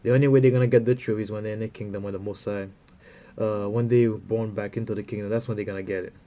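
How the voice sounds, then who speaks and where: A man with a stuffy, runny nose giving a rambling spiritual talk, halting and full of fillers, often ending phrases with "man". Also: on the low side, steady tone, lo-fi sound, slight background noise. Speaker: religious speaker.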